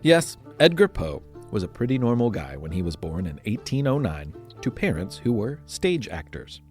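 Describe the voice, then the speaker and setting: Male kids' history podcast narrator: lowish pitch, highly varied intonation, conversational yet playfully dramatic. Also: very animated, normal speed, faint music behind.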